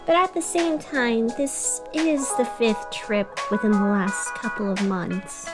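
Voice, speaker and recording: A woman playing a gentle, musing radio host in a scripted fiction podcast, speaking in a soft, reflective, conversational way. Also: fairly high pitch, fairly even intonation, slow pace, music in the background. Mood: chatty, wry. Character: gentle, musing young female radio host of a strange city.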